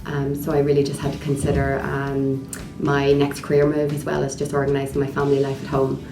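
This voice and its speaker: Low, flat-voiced woman, noisy echoey room. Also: a little fast, vocal fry. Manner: composed, with filler ums. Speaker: associate director. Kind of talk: personal story in an interview. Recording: roomy room with background noise.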